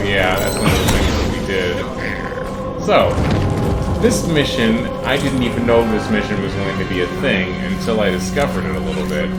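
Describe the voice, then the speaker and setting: A man giving casual, chatty gameplay commentary with playful, silly made-up words. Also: on the low side. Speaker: gaming video creator. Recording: roomy voice under loud music.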